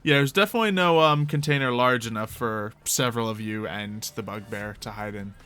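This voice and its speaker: A man's voice: mid-range voice, a little fast, sharp tone, clean tone. A man casually narrating a tabletop roleplaying game as game master. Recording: faint music under the voice.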